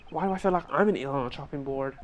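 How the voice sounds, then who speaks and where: A man's voice: mid-range voice, very fast, slight background noise. A man fan-dubbing a game, switching between acted lines and confused asides. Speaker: fan voice actor and player. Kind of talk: fan dub with live commentary. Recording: faint game music behind. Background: game music.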